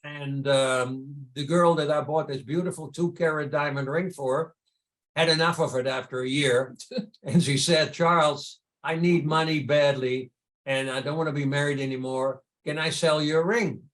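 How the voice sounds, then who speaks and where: A man's voice: fairly high pitch, fairly even intonation, normal speed, a bit bright. An older man with a Dutch accent telling personal stories in a conversational way as an interview guest. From Netherlands. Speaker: podcast guest, entrepreneur and charity founder.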